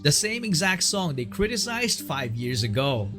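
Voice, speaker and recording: A man's voice: fairly high pitch, very animated, moderate pace, over music. A man narrating a scripted video story, building suspense with rhetorical questions. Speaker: documentary narrator.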